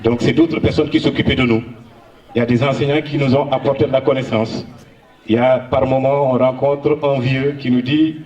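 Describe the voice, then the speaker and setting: A man's voice: medium pitch, fairly even intonation, a little mellow, highs cut off. Distant, echoing man giving a speech. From Senegal. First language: Soninke. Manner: earnest, measured oratory with frequent hesitations. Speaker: honoree giving a public speech. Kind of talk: public speech at a local ceremony.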